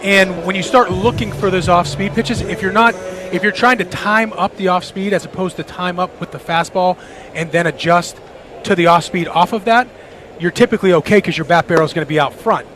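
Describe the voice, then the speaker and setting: A man's voice: high voice, brisk, fairly bright tone, faint music behind. A man giving conversational baseball analysis as a radio color commentator, rambling as he thinks aloud. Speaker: color commentator, former player.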